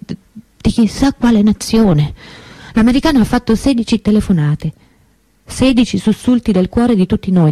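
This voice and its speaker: A woman's voice: lowish voice, mellow, husky, no room sound, low-quality recording. An actress playing an anguished young woman remembering her missing sister in an intimate, hesitant radio monologue. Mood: sad, bewildered. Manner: confiding, hesitant, conversational with pauses and repetitions.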